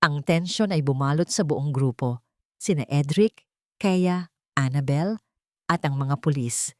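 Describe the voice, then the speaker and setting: A woman's voice: low voice, measured pace, darkish tone, dry recording, clean studio sound. A woman reading a dramatic romance story aloud as narrator. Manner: dramatic storytelling, reads all parts herself.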